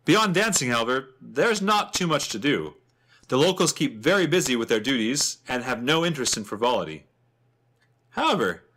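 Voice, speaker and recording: A man's voice: medium pitch, sharp tone. A man playing a chatty, wryly humorous travel writer, narrating a fantasy guidebook with theatrical expression. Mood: wry, playful.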